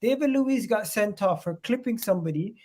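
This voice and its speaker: A man hosts a casual football discussion. His voice is quite high, and he keeps things moving by putting questions to the panel. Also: lively intonation, darkish tone. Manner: brisk, steering the discussion with questions.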